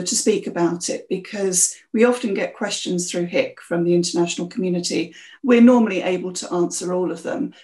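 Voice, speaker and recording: A woman hosting a podcast, introducing the show and asking a guest clear, friendly questions. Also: fairly low pitch, a little mellow. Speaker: podcast host. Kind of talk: interview podcast.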